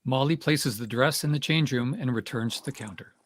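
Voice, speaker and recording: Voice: mid-range voice, fairly quick. A narrator reading stage directions for a play as scripted narration.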